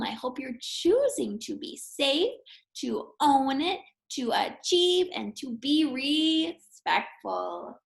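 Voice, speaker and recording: High-voiced woman reading slowly to children. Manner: expressive, addresses young listeners directly, emphatic phrasing. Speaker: teacher reading a picture book aloud to children. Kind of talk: children's read-aloud with encouraging asides. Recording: roomy, echoing indoor sound.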